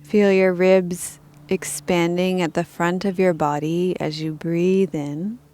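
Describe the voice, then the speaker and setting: A woman leading a guided meditation as a teacher, speaking calmly and gently in measured instructions with pauses. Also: lowish voice.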